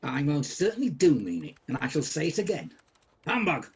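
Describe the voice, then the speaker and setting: A man's voice: a little high, wildly swooping pitch, brisk. An actor playing a grumpy, miserly old businessman in a radio play, curt and scornful. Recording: home recording with background noise.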